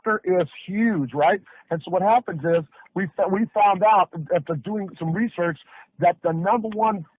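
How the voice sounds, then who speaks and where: High-pitched man pitching over a muffled call. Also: moderate pace, breathy edge. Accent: North American. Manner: emphatic, hyped storytelling with rhetorical questions. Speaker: network marketing presenter. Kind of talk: sales pitch on a conference call. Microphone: phone or conference line. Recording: muffled, band-limited conference call audio.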